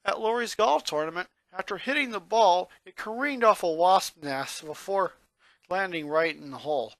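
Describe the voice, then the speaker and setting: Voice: medium pitch, moderate pace, no echo. A narrator reading a fan fiction story aloud in a storytelling style.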